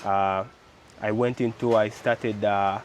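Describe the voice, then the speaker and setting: A young man with a Ugandan English accent, talking casually in an interview, animated and full of rhetorical questions and fillers. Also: lowish voice, slow pace, slight background noise. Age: young adult. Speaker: guest, car builder.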